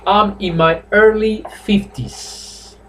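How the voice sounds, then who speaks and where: Teacher, fifties, higher voice, slow drills, webcam room with faint noise. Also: male voice, steady tone, a bit bright. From Spain. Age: 50s. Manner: repeats phrases slowly for drilling, switches between English and Spanish. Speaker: English teacher. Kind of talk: live online class, bilingual English and Spanish. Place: small room at home.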